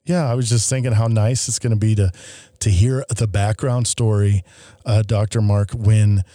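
A man's voice: fairly low pitch, fairly even intonation, normal speed, mellow, no echo. A man co-hosting a podcast in a studio, enthusiastic and stumbling over his words as he sets up the question. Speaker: podcast co-host. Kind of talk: casual podcast chat.

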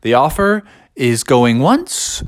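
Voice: medium pitch, sing-song, slow pace, no room sound, studio quality. A storyteller voicing a sly horse who coaxes and tempts. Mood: coaxing. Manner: coaxing, sly. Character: tempting countryside horse, smooth and coaxing.

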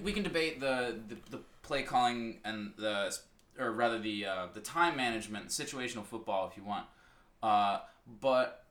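Bright-voiced man in sports chat. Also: medium pitch. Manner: hesitant, restarts often, then builds a steady argument. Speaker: podcast co-host. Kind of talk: casual sports talk.

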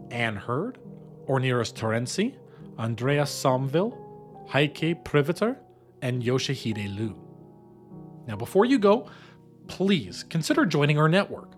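A man presenting a scripted promotional outro and cast credits for an audio production. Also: medium pitch.

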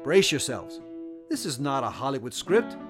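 A man hosting a history podcast, giving a measured, earnest scripted narration. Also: mid-range voice, big pitch swings. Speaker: podcast host. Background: soft guitar music.

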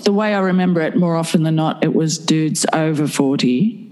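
A woman's voice: low-pitched, moderate pace, a little mellow, big-room sound. A woman in her fifties talking frankly and bluntly in a candid interview, swearing casually. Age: 50s.

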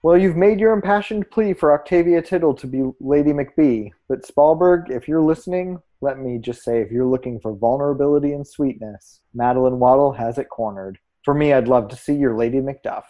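Casting: actor as measured theatre pundit, male, dark relaxed voice, analytical, easy scripted banter. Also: mid-range voice, natural voice. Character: measured, analytical co-host giving casting odds. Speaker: co-host of a theatre prediction show. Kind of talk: scripted podcast banter and commentary.

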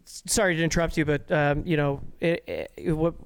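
A man from Canada hosting a podcast, chatting informally in a halting, effusive way. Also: fairly high pitch, fairly even intonation, creaky, clear, resonant tone. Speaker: podcast host. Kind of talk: casual podcast chat.

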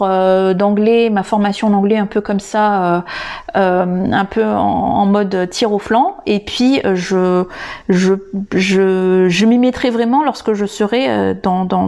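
Slow, hesitant woman teaching. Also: medium pitch, mellow. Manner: hesitant, many filler sounds and restarts; addresses the listener directly and informally. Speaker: English teacher and podcast host. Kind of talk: unscripted podcast lesson.

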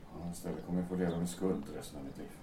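Low-voiced despairing man, acted out by a man, distant. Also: fairly even intonation, warm tone, lightly acted. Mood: grieving. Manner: agitated, bitter, swearing. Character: despairing guilt-ridden man on the brink of suicide. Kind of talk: audio drama acting. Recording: roomy, distant, noisy amateur-sounding recording.

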